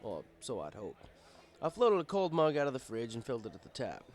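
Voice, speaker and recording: A man's voice: mid-range voice, huge pitch swings, brisk. A man reading a first-person story as scripted narration, in a wry, conversational manner. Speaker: story reader.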